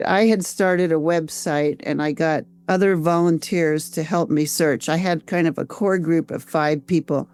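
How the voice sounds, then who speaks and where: An older woman with an American accent, telling her own story conversationally as an interview guest, reflective and halting, repeating phrases as she goes. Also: sits low, fairly even intonation, a little mellow, smooth. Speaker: interview guest, author and volunteer researcher.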